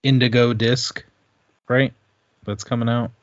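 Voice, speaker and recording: A man's voice: medium pitch, little pitch movement, measured pace, no echo, muffled recording. A man chatting casually as a podcast co-host, tentative and correcting himself as he recalls things. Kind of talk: casual chat.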